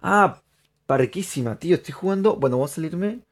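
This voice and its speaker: Young dude streaming a game, talks nonstop to chat, gets hyped and laughs a lot. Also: male voice, fairly high pitch, a little fast. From Peru. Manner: chatty, excitable, laughs often, addresses viewers. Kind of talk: live gameplay commentary.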